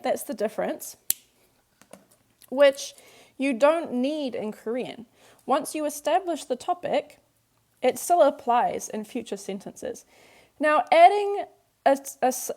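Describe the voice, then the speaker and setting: A woman's voice: high voice, a little mellow. A woman whose first language is English, teaching a workbook lesson and patiently working through examples step by step. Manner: patient, walks through examples step by step, repeats key points.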